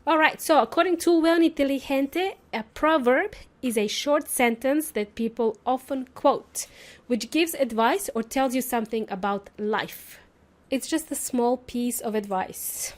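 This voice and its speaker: A woman's voice: high-pitched, a little slow. A woman whose native language is Serbian, hosting an English-teaching podcast in an upbeat, playful and chatty way, often asking her listeners questions. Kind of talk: solo teaching podcast monologue.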